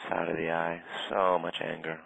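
A man guiding a tapping session in a calm, steady way, naming tapping points and saying short phrases for the other person to repeat. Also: low voice, gravelly, slightly rough, no echo, rough recording. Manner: calm, slow, leads phrases for repetition. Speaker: tapping practitioner.

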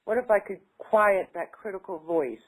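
A woman's voice: medium pitch, normal speed, breathy edge. A woman taking part in a tapping session, echoing phrases back and speaking hesitantly with many fillers when she talks freely. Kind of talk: repeating affirmations, then casual talk.

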